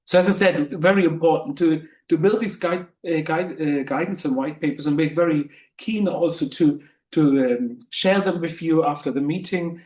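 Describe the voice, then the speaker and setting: Halting man in an echoey room. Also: a little high, darkish tone. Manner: halting, many fillers and restarts. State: losing his voice. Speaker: webinar host. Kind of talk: presenting in a webinar.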